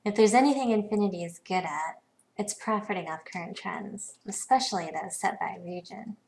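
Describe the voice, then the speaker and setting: Woman acting a creaky-voiced, cool corporate businesswoman. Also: medium pitch, normal speed, darkish tone, natural voice, poor audio. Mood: cool, knowing. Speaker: video game voice actor. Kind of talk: scripted game dialogue.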